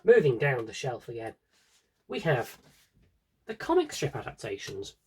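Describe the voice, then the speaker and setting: A man's voice: medium pitch, huge pitch swings, unhurried, a little mellow, husky. A British man chatting casually through a vlog-style review, wry and opinionated, with rambling asides. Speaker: vlogger showing a collection.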